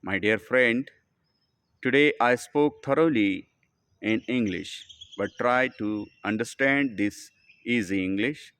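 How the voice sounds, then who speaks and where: A man with an Indian accent giving a spoken English lesson. He talks in slow, simple, deliberate sentences and often calls the listener "my dear friend.". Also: medium pitch, fairly bright tone, clear, resonant tone. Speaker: English teacher.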